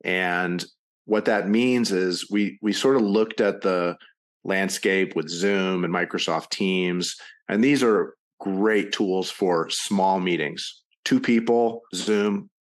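Guest: deep-voiced middle-aged man, steady and confident. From United States (Ohio). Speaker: podcast guest, entrepreneur. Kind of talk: casual interview chat.

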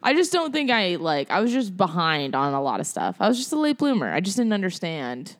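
A woman's voice: on the low side, big pitch swings, brisk. A young woman talking as a guest in a casual podcast chat, playful and self-mocking, telling stories with animation into an inexpensive podcast microphone.

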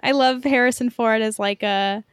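A woman's voice: on the high side, moderate pace, smooth, no echo, studio quality. A woman co-hosting a podcast in casual pop-culture chat, informal and enthusiastic. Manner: informal, enthusiastic, swears freely. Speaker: podcast co-host.

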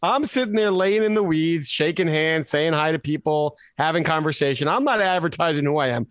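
A man's voice: on the high side, a little fast, no room sound, studio quality. An American man co-hosting a casual sports podcast, telling stories animatedly and acting out what people said. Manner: animated storyteller, acts out quotes. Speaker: podcast co-host.

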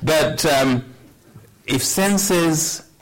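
A man in his sixties preaching a sermon, telling a personal story in a measured way with wry humor. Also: fairly high pitch, rough recording. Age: 60s. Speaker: pastor.

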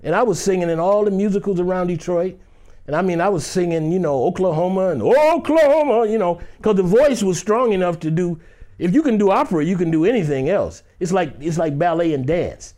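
High-voiced man telling a lively story. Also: brisk. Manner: acts out other people's lines in the story; loose conversational delivery with many "you know" fillers. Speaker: interview guest. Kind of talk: animated anecdotal storytelling.

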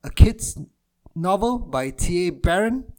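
A man from Malaysia co-hosting a casual film podcast, relaxed and laughing easily, trailing off quietly at the ends of phrases. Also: high-pitched, unhurried. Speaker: podcast co-host.

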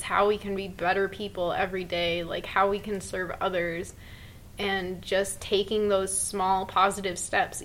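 A woman in her thirties talks in a casual, earnest way. She sounds upbeat and keeps slipping "like" into her sentences as she co-hosts a relaxed conversation. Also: mid-range voice, rough recording. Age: 30s. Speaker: podcast co-host. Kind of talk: casual chat.

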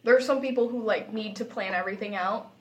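A woman's voice: on the high side, fast talker. A young adult woman in casual podcast chat, giggly and self-deprecating in the way she talks. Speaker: podcast host.

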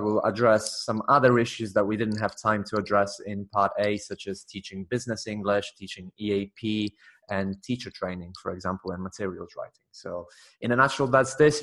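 A man talking as an interview guest, explaining his ideas at length in a measured, earnest way. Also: on the low side, a bit bright. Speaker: guest, teacher and author. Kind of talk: casual interview chat.

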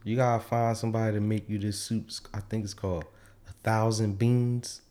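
A man's voice: on the low side, little pitch movement, normal speed, warm tone. A young man co-hosting a podcast, bantering easily in casual slang. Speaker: podcast co-host.

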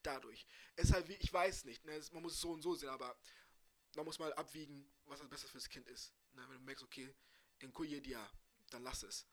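A man's voice: mid-range voice, fairly even intonation, brisk. A man in a casual group discussion who talks emphatically, his voice rising on his main points.

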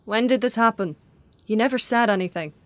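A woman's voice: on the high side, brisk, no room sound, lightly acted. An actress playing a blunt young woman in a radio drama, slangy and scolding. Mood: blunt, incredulous. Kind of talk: naturalistic radio drama acting.

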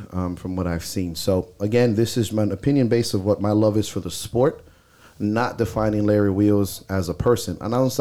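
Stuffy-nosed guy on a podcast mic, lowish mellow voice, blunt, cusses a lot. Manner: blunt, swears freely, opinionated. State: stuffy nose. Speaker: podcast co-host. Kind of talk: casual podcast chat. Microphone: close podcast mic.

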